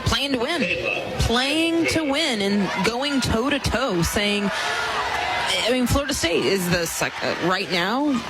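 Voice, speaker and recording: A woman working as a color analyst, giving unscripted commentary on a live softball game. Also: on the high side, animated, takes it slowly. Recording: broadcast with loud music and crowd. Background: music, loud crowd.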